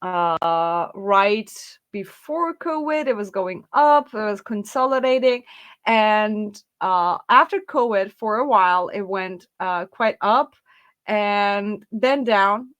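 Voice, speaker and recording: A woman's voice: medium pitch, slow pace, fairly bright tone, highs cut off. A woman hosting an interview, friendly and chatty, laughing often and using many fillers. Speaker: interview host. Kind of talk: casual interview.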